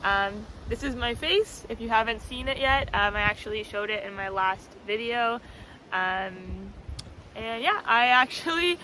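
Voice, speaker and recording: A woman with a loud voice rambling casually about spiritual ideas, full of fillers, talking to camera outdoors on a windy spot. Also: on the high side, unhurried, rough recording. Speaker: spiritual vlogger. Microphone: camera mic, no external microphone.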